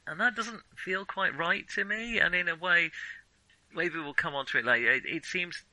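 Guy in his 40s, really bright crisp-edged voice, dry recording, kinda rambly and unsure, podcast film chat. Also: sits high, normal speed, dry recording, low-quality recording. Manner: hesitant, self-questioning, rambling. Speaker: podcast co-host. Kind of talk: casual film discussion.

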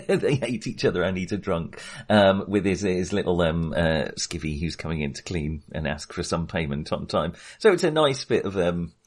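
A man's voice: low-pitched, animated, moderate pace, hardly any pauses. A middle-aged British man in casual film discussion as a podcast co-host, often hesitant and searching for words.

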